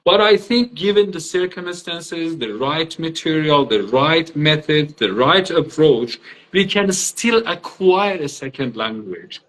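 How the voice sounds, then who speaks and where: A man whose first language is Azeri, explaining his teaching ideas as an interview guest in a reflective way with many pauses. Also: fairly high pitch, expressive, fairly bright tone, poor audio. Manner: reflective, explanatory, frequent hesitations. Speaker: guest, academic trainer. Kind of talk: online interview conversation. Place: Turkey.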